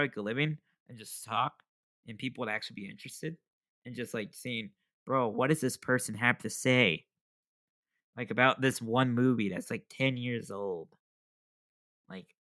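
A man's voice: medium pitch, bright, forceful tone. A young man hosting his own podcast, talking in a rambling, self-deprecating way and laughing often. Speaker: podcast host. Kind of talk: casual solo podcast chat.

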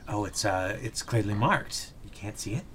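A man's voice: on the low side, moderate pace, a crowd in the background, lightly acted. A man voicing an earnest male kobold guide in a tabletop game, explaining his people in a matter-of-fact, slightly defensive way.

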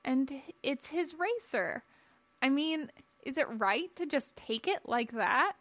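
Woman doing a young jedi apprentice boy, high voice, hesitant and earnest, questioning, storybook style. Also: lively intonation, slow pace, a bit bright, exaggerated voice. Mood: worried, questioning.

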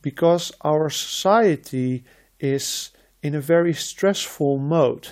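A man from the Netherlands, a shaman hosting a podcast, speaking in a slow, measured, reflective way with repeated phrases. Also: mid-range voice, darkish tone. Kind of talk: slow reflective spiritual talk.

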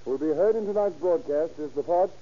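An American man announcing and pitching a sponsor in a formal, emphatic 1930s radio style. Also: expressive, quick pace. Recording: dull vintage radio recording with music.

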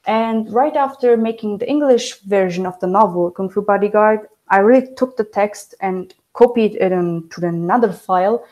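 A young woman whose first language is Romanian speaks English as an interview guest, often restarting and correcting herself. Her voice is warm and mellow, at a middle pitch for a woman. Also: low-quality recording. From Germany. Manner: halting, restarts and self-corrections. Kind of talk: casual interview talk.

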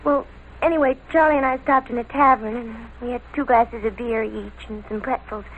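A high, girlish American voice acted by a woman on a faintly noisy old radio recording. She is a lovestruck, naive teenager, defiant and gushing, speaking in breathless, slangy bursts in 1940s radio drama style. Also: steady tone, narrow, tinny audio, lightly acted. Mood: hesitant, confiding. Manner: breathless, slangy, halting confession.

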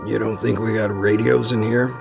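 An actor playing a disturbed, paranoid locked-up man in a horror audio drama, halting and repeating himself as he broods. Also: lowish voice, flat delivery, vocal fry, music in the background. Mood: paranoid, fervent.

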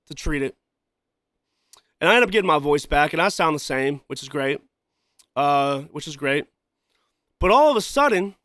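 A man's voice: on the high side, a bit bright, no echo. Man with a dry, throaty podcast voice. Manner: loose, rambling, repeats himself, frequent "you know" and "man". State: throat trouble, coughing and clearing throat. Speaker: podcast host and musician. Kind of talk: casual solo podcast talk.

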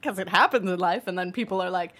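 A woman's voice: fairly low pitch, fast, a bit bright. A woman chatting casually as a panelist, in an informal conversational style. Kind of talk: casual chat.

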